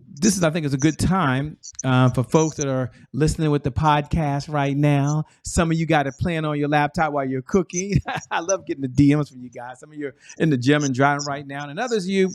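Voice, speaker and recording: Casting: talk-show host, man, fairly high voice, easy chatty delivery, dry recording. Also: fairly quick, hardly any pauses, no room sound. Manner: chatty, conversational. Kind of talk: interview hosting.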